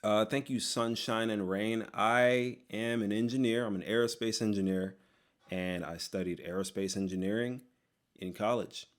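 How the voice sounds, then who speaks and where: A young man from Texas hosting a casual Q&A, reading comments with quick, sarcastic asides. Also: on the low side, steady tone. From Texas, USA. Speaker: YouTuber host.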